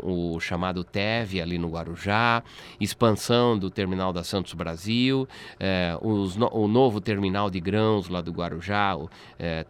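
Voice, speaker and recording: A man's voice: low-pitched, clean tone. A man with a Brazilian accent giving explanatory interview answers in a didactic way, often checking with tag questions. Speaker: interviewee, port expert.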